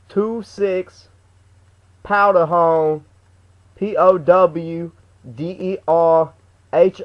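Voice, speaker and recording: Young man putting on stammering, slangy aggrieved caller, muffled. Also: on the high side. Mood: matter-of-fact. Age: young adult. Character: slangy, aggrieved man reporting a broken TV to customer service. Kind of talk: prank phone call. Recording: dull lo-fi webcam audio.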